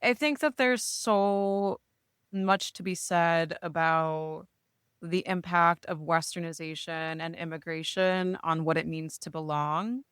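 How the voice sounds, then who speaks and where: Low-voiced woman therapist, smooth and dry. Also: fairly even intonation, slow, fairly bright tone, no echo. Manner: reflective, frequent "like" and "right?". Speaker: therapist, guest. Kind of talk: casual podcast conversation.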